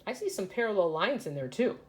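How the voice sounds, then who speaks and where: A woman's voice: mid-range voice, very animated, quick pace, darkish tone. A woman teacher reading a children's educational book aloud and explaining it to young pupils as she goes. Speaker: third grade teacher.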